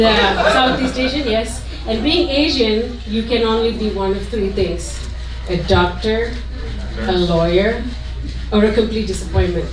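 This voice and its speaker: Slow-paced woman doing stand-up, roomy and distant. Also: a little high. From Sri Lanka. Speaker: stand-up comedian. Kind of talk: stand-up comedy routine. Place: indoor comedy club stage. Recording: roomy, distant mic, noisy club recording.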